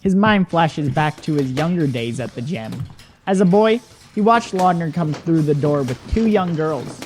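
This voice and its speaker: Higher-pitched man narrating an audio drama. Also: lively intonation, normal speed, over music.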